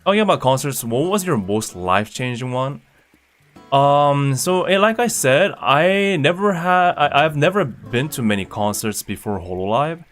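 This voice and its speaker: A young man from Indonesia, a streamer, chatting casually and reading comments in a relaxed, rambling way with fillers and restarts. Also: medium pitch, normal speed. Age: young adult. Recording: faint guitar music behind.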